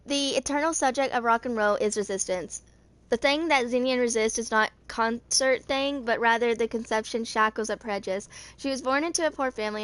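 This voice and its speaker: A woman in her mid twenties with a fairly high voice reads lore aloud as an amateur narrator. Her delivery is halting, and she trips on words and goes back over them. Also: a bit bright, no echo. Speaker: video creator reading game character lore.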